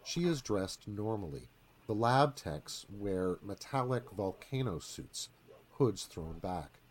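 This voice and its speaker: Dramatic male narrator, lowish, expressive. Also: slow pace, rough recording. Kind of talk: scripted screenplay narration for live radio theatre.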